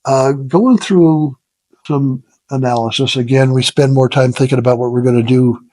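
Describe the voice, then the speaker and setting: Halting older man, dry close sound. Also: medium pitch, steady tone, warm tone, no room sound. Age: 70s. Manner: halting, self-deprecating, chuckles often. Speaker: interview guest, retired business founder. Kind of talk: casual reflective storytelling in an interview.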